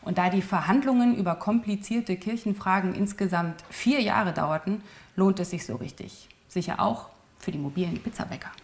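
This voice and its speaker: A woman narrating a history documentary in a calm, informative and lightly playful manner, and also reporting on camera. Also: mid-range voice, normal speed. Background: music.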